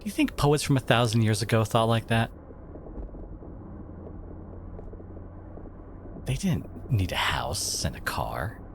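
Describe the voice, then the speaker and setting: A man playing a devoted, protective boyfriend in an intimate ASMR audio roleplay, speaking haltingly with sighs and whispers, emotional and tender. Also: on the low side, noisy background. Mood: frustrated, hurt. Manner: halting, sighing, slipping into breathy whispers.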